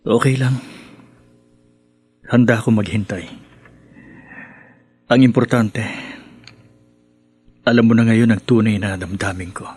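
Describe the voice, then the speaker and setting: An actor with a Filipino accent playing a devoted, patient friend in love, earnest and hesitant, in radio drama style. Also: male voice, fairly low pitch, steady tone, measured pace, long pauses, a little mellow, breathy edge, no room sound, faint music behind. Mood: earnest, confessing.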